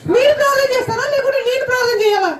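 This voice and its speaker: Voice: very high-pitched, racing. A puppeteer voicing a childlike puppet, exhorting children and asking them questions at a Christmas puppet show. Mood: playful. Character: squeaky childlike puppet preaching cheerfully to children. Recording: echoey hall, crowd and noise behind.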